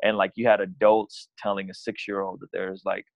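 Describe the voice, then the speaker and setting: A man's voice: deep voice, little pitch movement, a little fast, vocal fry, dry recording. A young man from Azerbaijan, a guest on a podcast, talking casually and rambling with lots of "you know" and "like.". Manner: rambling, restarts often, leans on "you know" and "like". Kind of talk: casual interview chat.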